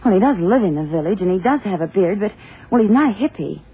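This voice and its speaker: An actress playing a detective's worried, loyal secretary in a radio drama, earnest and hesitant with a husky voice. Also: female voice, mid-range voice, lively intonation, fast talker. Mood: worried, defensive. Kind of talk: 1960s-70s radio drama acting. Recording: tinny phone-like vintage radio drama.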